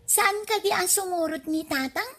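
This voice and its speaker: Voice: extremely high pitch, moderate pace, pushed and bright, exaggerated voice. A performer playing a dutiful, inquisitive little village girl in an Ilocano radio comedy drama. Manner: childlike.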